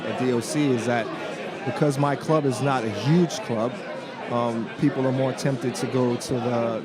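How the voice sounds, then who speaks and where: A man from Trinidad and Tobago, a youth soccer club director, answering interview questions in a relaxed way with many fillers. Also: mid-range voice. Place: busy bar at a conference. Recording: loud crowd noise in a bar. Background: loud crowd chatter.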